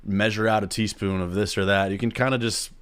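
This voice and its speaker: A man's voice: lowish voice, steady tone, brisk, fairly bright tone, clear, resonant tone. An American man chatting casually as a podcast co-host. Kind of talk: casual group chat.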